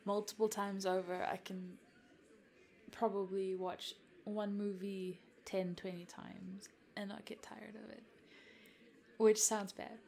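A South African woman in her twenties whose first language is Afrikaans. She chats to a follower in a giggly, hesitant, soft-spoken roleplay and sometimes drops to a whisper. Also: mid-range voice, little pitch movement, slow pace, creaky, slight background noise. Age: 20s. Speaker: content creator addressing a follower. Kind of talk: roleplay chat to the listener.

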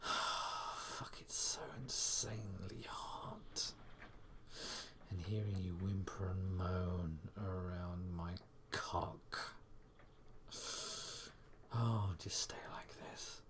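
Male intimate-audio performer: soft, breathy, frequently whispered, unhurried drawl, reassuring sighs. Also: sits low, fairly even intonation. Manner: murmuring, sighing, reassuring.

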